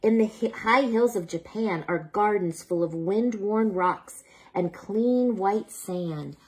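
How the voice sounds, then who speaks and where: Husky-voiced woman reading bedtime stories. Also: medium pitch, rough recording. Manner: unhurried, expressive storytelling addressed to a child listener. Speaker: children's storyteller reading picture books aloud. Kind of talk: bedtime story read-aloud.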